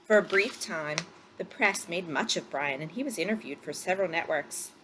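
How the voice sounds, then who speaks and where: A woman narrating a story aloud from a script, phrasing it deliberately for drama. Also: on the low side, noisy background.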